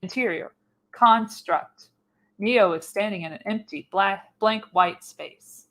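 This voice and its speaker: A woman's voice: medium pitch, slow, fairly bright tone. A woman narrating the stage directions of a screenplay in a radio play reading. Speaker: narrator, podcast host.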